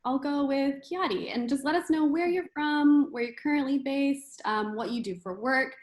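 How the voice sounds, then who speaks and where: A woman's voice: high-pitched, moderate pace. A woman hosting and moderating an online panel, recorded with a roomy, echoing sound. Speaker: panel host, community manager.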